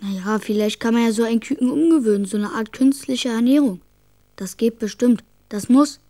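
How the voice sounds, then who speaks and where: Woman as an earnest, bright-voiced little schoolboy. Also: fairly high pitch, moderate pace, close to an everyday voice. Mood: thoughtful, determined. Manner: earnest, childlike, thinking aloud. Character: Elias, young schoolboy, earnest, curious and worried. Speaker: actor in a radio play. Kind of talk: German children's radio drama acting.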